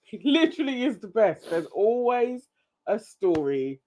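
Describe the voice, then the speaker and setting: A man's voice: high voice, sing-song, unhurried, dark tone, low-quality recording. A man co-hosting a casual live stream chat, laughing often and talking with animation. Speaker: co-host.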